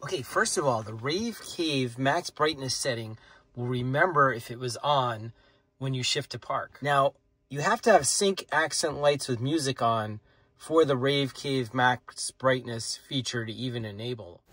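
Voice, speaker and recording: A man's voice: mid-range voice, measured pace, a bit bright, breathy edge, dry recording. A middle-aged man explaining car features in a casual vlog from inside a parked car, filming on a phone held at arm's length. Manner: plain, matter-of-fact explaining. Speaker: car tech vlogger.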